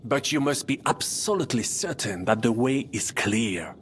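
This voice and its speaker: An actor playing an older, boastful French knight in a video game, with a French accent and a theatrical, pompous manner that peppers speech with French. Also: male voice, mid-range voice, animated, normal speed, slight background noise, close to an everyday voice. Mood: measured, wry. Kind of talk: video game dramatic acting.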